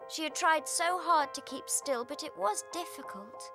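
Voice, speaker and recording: A woman reading scripted narration for a children's read-along story, with expressive storytelling delivery. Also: high-pitched, moderate pace, music in the background.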